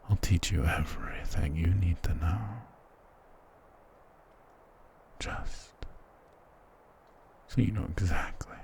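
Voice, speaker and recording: A man's voice: low-pitched, a little mellow. A man playing a dominant, doting vampire lord in an intimate ASMR roleplay, speaking tenderly and possessively with formal endearments. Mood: possessive, coaxing.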